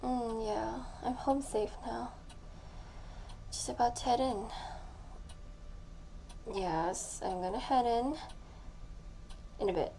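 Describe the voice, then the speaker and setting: A woman acting a tipsy, lovesick young woman confessing to her best friend in an intimate audio roleplay, soft and breathy, halting and often near a whisper. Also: fairly high pitch. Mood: tender, playful. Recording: faint background noise.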